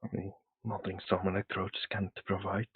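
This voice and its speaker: Husky low-voiced man, deadpan. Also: normal speed, dry recording. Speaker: tabletop game player. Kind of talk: roleplaying a tech-priest in an online game. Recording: tinny online call audio.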